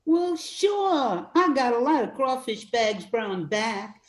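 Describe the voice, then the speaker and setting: Older woman doing folksy plucky bayou mama, highish voice, roomy echo, radio play. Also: big pitch swings, slow. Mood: game, brisk. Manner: folksy, homespun dialect. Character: plucky, affectionate bayou mother with a shotgun.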